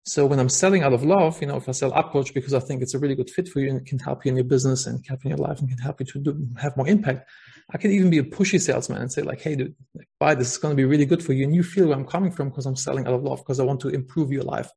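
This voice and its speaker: A man's voice: mid-range voice, rapid-fire, vocal fry, warm tone, breathy edge. A man with a German accent, a podcast guest talking earnestly about his work, with many fillers and restarts. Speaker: podcast guest, software founder. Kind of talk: casual interview talk.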